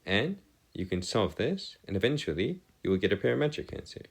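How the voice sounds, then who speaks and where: A man giving a step-by-step math lesson as a tutor, halting and pausing to check himself, with formal, bookish wording. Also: fairly low pitch, very animated, creaky, noisy background. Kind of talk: lesson, working through equations step by step.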